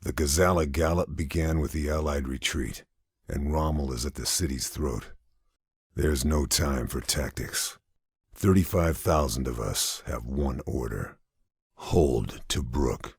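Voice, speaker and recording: An actor playing a grim, brooding WWII sniper who narrates his missions in a terse, deliberate, gravelly video game voice. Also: very deep voice, dry recording, full character voice. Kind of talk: scripted dramatic mission narration.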